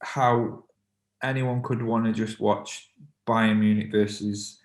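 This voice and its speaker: A man in his thirties, a football fan, answering documentary interview questions in a measured, plain-spoken way. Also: lowish voice, flat delivery, echoey room.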